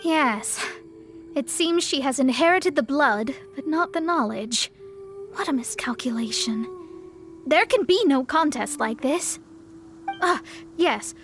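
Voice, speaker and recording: Brief: proud, bookish young astrologer, bright upper voice, faint music underneath. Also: female voice, high voice, lively intonation, slow pace, pauses often. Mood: exasperated. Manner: poised, lofty, slightly condescending. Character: proud young female astrologist, haughty and scholarly. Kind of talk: video game cutscene voice acting. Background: soft game music.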